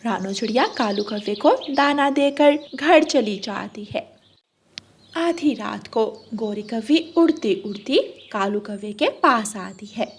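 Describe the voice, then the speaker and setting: A woman's voice: fairly high pitch, lively intonation, takes it slowly, slight background noise. A woman reading scripted narration for a children's moral story.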